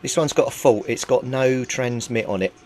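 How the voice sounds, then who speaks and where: A man's voice: medium pitch, moderate pace, a little mellow. A man giving an informal, methodical workbench explanation as a hobbyist repairer. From Britain. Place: small indoor home workbench. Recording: clearly noisy home recording.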